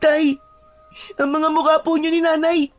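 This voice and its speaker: A woman's voice: high-pitched, level tone, moderate pace, no room sound, soft background music, close to an everyday voice. A woman voicing a frightened, pleading young child in a dramatized story. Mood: scared, confused.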